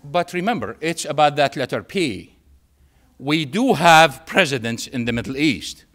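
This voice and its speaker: A man from Syria with a Syrian Arabic accent and Arabic as his first language, giving a public lecture in an emphatic way with repetition and pauses for effect. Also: fairly high pitch, lively intonation, fairly bright tone. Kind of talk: public lecture with anecdotes and humour.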